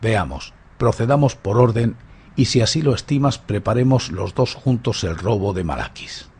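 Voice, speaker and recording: A man playing a suave, playful, boastful gentleman thief in a radio drama, with mocking, grandly courteous theatrical delivery. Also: lowish voice, expressive, moderate pace, husky. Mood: condescending, theatrical.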